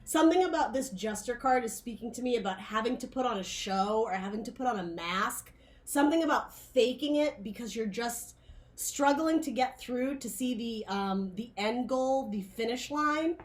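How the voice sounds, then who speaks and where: A woman's voice: a little high, expressive, moderate pace. Woman reader with a dry, tired throat. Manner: rambling, searching for words, frequent "like" and "um". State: dry, losing her voice. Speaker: tarot reader. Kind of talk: casual tarot reading monologue.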